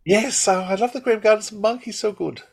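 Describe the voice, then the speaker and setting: A man's voice: sits high, fast talker, creaky, over a noisy crowd. A man co-hosting a podcast in casual chat, hesitating and repeating phrases as he thinks aloud. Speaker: podcast co-host.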